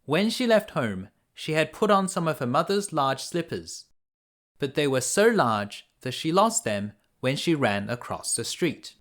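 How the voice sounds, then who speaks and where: Guy narrating a bedtime story for little kids, slow n deliberate, pitch moves around a lot. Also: big pitch swings, a bit bright. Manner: simple, deliberate storytelling for young children. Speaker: storyteller, dad reading bedtime stories. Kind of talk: scripted children's fairy tale narration.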